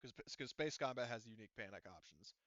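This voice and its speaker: A man acting as game master in casual tabletop play, haltingly thinking aloud as he explains rules. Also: mid-range voice, a bit bright, no room sound, lo-fi sound.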